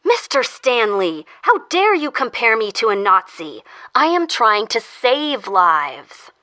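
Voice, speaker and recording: A woman playing a controlled, earnest official who interrogates someone in an audio drama, speaking formally and in measured phrases. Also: on the high side, big pitch swings, sharp tone, dry recording, full character voice. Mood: indignant. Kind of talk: audio fiction acting.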